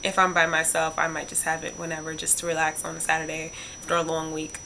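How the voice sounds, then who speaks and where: Young female student, low and even, casual interview answers, humming noisy background. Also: quick pace, gravelly. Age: early 20s. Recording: noisy room with hum.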